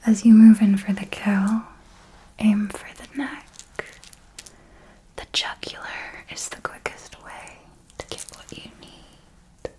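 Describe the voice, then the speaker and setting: A woman voicing an ancient, seductive and predatory vampire mentor in a whispered, deliberate ASMR roleplay, doting yet menacing. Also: medium pitch, dark tone, natural voice, rough recording.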